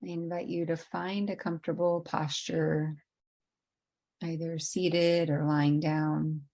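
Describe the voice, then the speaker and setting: A woman leading a guided meditation in an unhurried, gently inviting way with long pauses. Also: low voice, level tone.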